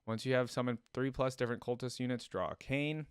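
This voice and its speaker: A man's voice: mid-range voice, fairly even intonation, a little fast, clear, resonant tone, dry recording, clean studio sound. A man giving casual, informal reaction commentary and chatting to his viewers. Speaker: reaction video host. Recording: music behind the voice. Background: game trailer music.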